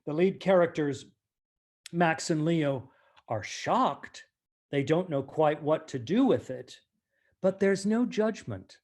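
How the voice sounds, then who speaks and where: A man from the United States with a fairly high voice talks as an interview guest. He speaks in a reflective, deliberate way and puts weight on key words as he recalls his work as an actor. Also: a little mellow.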